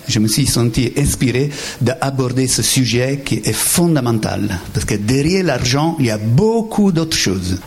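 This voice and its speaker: A man with a thick Italian accent leads a spiritual retreat for lay listeners. He speaks in a halting, conversational way, full of fillers and restarts, with quick asides to the room. His voice sits in an ordinary middle pitch. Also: darkish tone. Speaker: Franciscan friar giving a retreat talk. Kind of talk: informal spiritual teaching to lay people.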